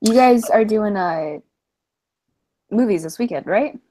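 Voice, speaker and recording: Sarcastic woman with a lively voice. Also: medium pitch, unhurried. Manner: deadpan sarcastic quips. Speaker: stream co-host. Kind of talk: casual stream chat.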